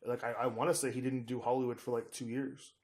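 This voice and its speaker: Guy on a true crime podcast, just chatting, kinda halting, keeps searching for names and words. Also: medium pitch, little pitch movement. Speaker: podcast co-host.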